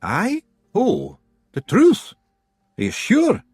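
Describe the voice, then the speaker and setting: A man with a Scottish accent voicing an excitable, evasive little fairy clan chief in a storybook reading. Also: sits high, dry recording, studio quality, pitched above the performer's own voice, exaggerated voice. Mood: blustering. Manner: fast, blustering, evasive.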